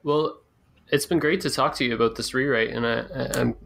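A man's voice: medium pitch, steady tone, fairly quick, creaky, a bit bright. A man hosting a podcast interview, asking questions in a conversational style.

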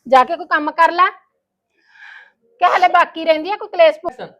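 A woman's voice: very high voice, normal speed, sharp tone, highs cut off. A woman playing a spiteful sister-in-law in a family drama, nagging, accusing and sarcastic. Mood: scornful, scolding. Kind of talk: Punjabi domestic drama dialogue.

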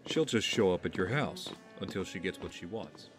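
A man playing a guarded young billionaire in an audio drama, speaking in a measured, formal way. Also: sits low, lively intonation. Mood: polite, charming. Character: brooding, guarded young billionaire with a secret mission. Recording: roomy reverb, faint music behind.

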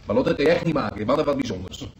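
A man's voice: mid-range voice, expressive, rapid-fire, natural voice, rough recording. A man acting a cheeky male patient in an old radio drama. His mid-range voice banters and teases in casual slang. Light background hiss runs underneath. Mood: teasing. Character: cheeky, teasing long-haired male hospital patient.

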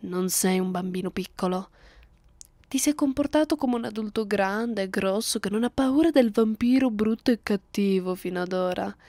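A woman voicing a cruel, bored, centuries-old female vampire in a close ASMR roleplay, condescending and deliberate, often slipping into whispers. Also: mid-range voice, mellow, no echo, natural voice. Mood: scornful, commanding. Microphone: close microphone, dry sound.

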